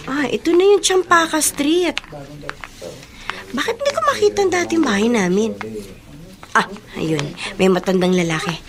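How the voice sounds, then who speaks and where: A Filipino actress playing a gentle, polite grown daughter in an emotive, hesitant radio drama style. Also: female voice, medium pitch, natural voice. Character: Isabel, gentle grown daughter returning to her childhood slum. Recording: noticeable background noise.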